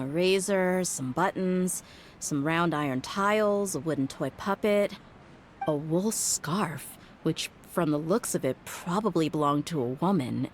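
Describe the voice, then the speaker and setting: A tough young mercenary woman talks in a low, blunt voice with dry, wry sighs. She sounds exasperated yet steady, as in a game cutscene. A faint layer of noise sits behind her. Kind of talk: game voice acting.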